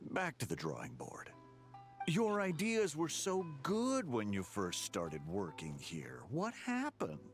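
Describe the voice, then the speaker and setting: A man's voice: mid-range voice, huge pitch swings, slow pace. A man playing a demanding, dismissive office boss in a game, talking in a pushy, condescending way over background music. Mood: scolding. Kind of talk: game voice acting.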